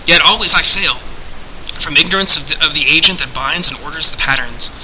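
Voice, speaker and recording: Man playing an earnest, bright-voiced formal adventurer. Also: fairly high pitch, a little hiss or hum, voice pushed up, poor audio. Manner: formal, measured archaic speech. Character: earnest male adventurer, formal, wary and wry.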